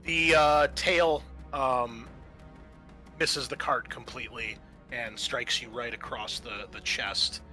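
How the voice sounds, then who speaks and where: A man narrating a tabletop roleplaying game as game master, pausing often as he works out what to say. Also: mid-range voice, fairly even intonation, bright, forceful tone, faint music behind.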